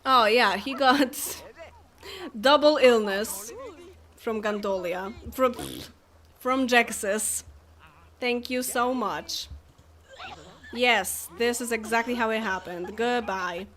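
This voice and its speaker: A woman's voice: on the high side, slow, long pauses, fairly bright tone. A young woman from Poland, chatting casually and laughing as she streams a life-simulation game. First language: Polish. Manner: chatty, laughs often, talks to game characters. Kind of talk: casual live game commentary.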